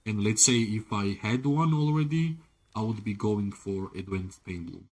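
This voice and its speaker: A man's voice: on the low side, animated, normal speed. Rambling male game streamer chatting casually. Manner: rambling, leans on "like" and tag questions "right?"; talks to chat viewers directly. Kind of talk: casual live-stream commentary while playing.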